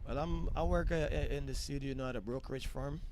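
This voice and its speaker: A young man from Guyana, a cricketer guest, talking in a relaxed, halting way with fillers on a match commentary. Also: medium pitch, a little hiss or hum. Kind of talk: casual interview on commentary.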